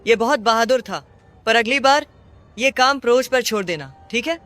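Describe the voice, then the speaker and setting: A man's voice: extremely high pitch, normal speed, pushed and bright, soft background music. A man playing an upbeat, protective young superhero in a video game, coaching and reassuring as he speaks. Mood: encouraging. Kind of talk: video game voice acting, Hindi dub.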